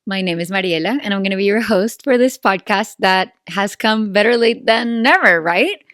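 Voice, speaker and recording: A woman's voice: medium pitch, lively intonation, moderate pace, fairly bright tone, dry recording. Woman in her thirties, Venezuelan accent, native Spanish speaker, chatty podcast host, playful asides, dry close sound. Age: 30s. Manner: chatty, playful, self-aware, often asks "right?". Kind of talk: casual solo podcast chat.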